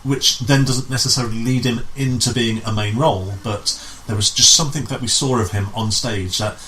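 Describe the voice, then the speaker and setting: Bright-voiced man, halting chatty delivery. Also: mid-range voice, a little hiss or hum, low-quality recording. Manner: halting, repeats himself, often says "as in". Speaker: guest, amateur pantomime writer and director. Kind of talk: casual chat.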